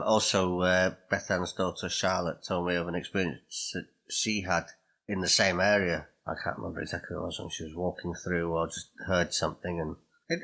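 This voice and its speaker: A man speaks in a deep register with a crisp, forceful edge. He chats casually, telling stories and pausing to piece his memories together. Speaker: podcast host. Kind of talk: casual storytelling chat.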